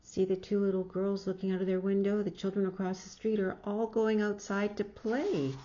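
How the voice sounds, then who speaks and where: A woman's voice: medium pitch, flat delivery, moderate pace, dark tone, dull audio. A woman reading a picture book aloud expressively in short phrases, with asides to young listeners. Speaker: storybook reader.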